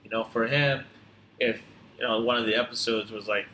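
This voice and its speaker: A man with a fairly low, bright voice tells stories casually as a podcast guest. He speaks haltingly and reflectively, circling back over his phrases. The room is echoey around him. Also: fairly even intonation. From born in Tanzania, grew up in upstate New York. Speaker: podcast guest, physical therapist. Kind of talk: casual conversational storytelling. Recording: roomy echo, clear background noise.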